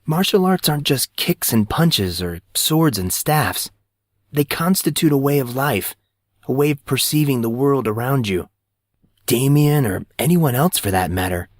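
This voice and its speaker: Man as an earnest teenage boy, raised pitch. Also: expressive, unhurried, no room sound, clean studio sound. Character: earnest fourteen-year-old boy, intense about martial arts.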